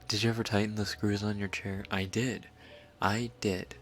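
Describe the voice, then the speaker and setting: A man chatting casually and softly on a late-night ASMR live stream, laid-back and swearing now and then. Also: on the low side, flat delivery, measured pace.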